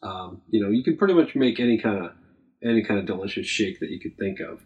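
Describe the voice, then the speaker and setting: A young man chatting casually, rambling with many ums and uhs and trailing off quietly. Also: on the low side, animated, quick pace, muffled recording. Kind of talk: casual podcast chat.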